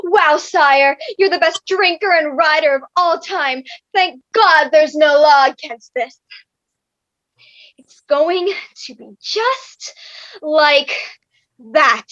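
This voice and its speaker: Petulant teenage girl, played by a woman, very high bright voice, scornful, dramatic, slow, dry dull recording. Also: expressive, no echo, exaggerated voice. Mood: mocking. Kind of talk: comic stage play reading.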